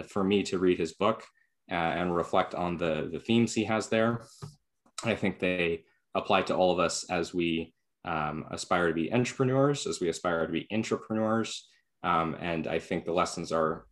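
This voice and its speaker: Deep-voiced male host introducing a guest on a video call, halting, filler-heavy. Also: a bit bright.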